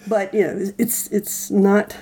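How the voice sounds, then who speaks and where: A woman's voice: medium pitch, little pitch movement, slow, dark tone, breathy edge. A woman chatting casually as a podcast guest, informal and a little hesitant. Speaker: guest co-host. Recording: noticeable background noise.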